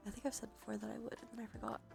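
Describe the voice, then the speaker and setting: Soft whispering woman with creaky voice. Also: fairly high pitch, level tone, racing, over music. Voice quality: soft, breathy, often whispered. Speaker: livestream host. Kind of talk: ASMR tarot reading stream.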